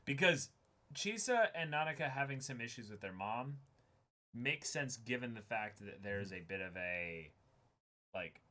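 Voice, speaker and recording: A man co-hosting a manga reading stream, chatting casually in an expressive, exclamatory way. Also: mid-range voice, measured pace. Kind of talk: casual discussion.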